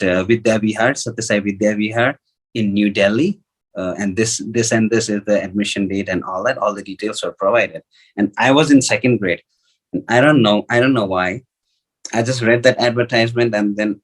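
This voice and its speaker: A man with a notably low voice and a Nepali accent, a native speaker of Nepali. He shares a personal testimony in an informal storytelling way. His delivery is halting, circling back over phrases and thinking aloud through many fillers. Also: low-quality recording. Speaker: devotee sharing personal testimony.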